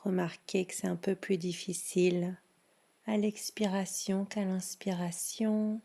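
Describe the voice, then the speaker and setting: A woman leading a guided yoga nidra relaxation in a soothing, hushed manner with long pauses. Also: mid-range voice, level tone, creaky.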